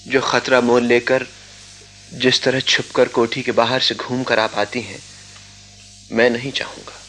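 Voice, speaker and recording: An actor playing a proud, self-effacing poor young student, speaking politely and formally in a Hindi radio drama. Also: male voice, mid-range voice, little pitch movement, measured pace, sharp tone, soft background music. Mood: earnest, reluctant. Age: young adult.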